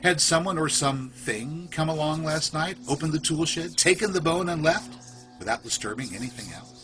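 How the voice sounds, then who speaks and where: A man reading a scripted first-person horror story in a literary, measured, suspenseful storytelling manner. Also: on the high side, animated, fairly bright tone, soft background music. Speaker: storyteller, podcast narrator.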